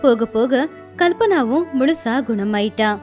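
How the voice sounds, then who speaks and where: A woman's voice: on the high side, expressive, a little slow, a little mellow, faint music behind. A woman narrating a scripted moral tale as a storyteller.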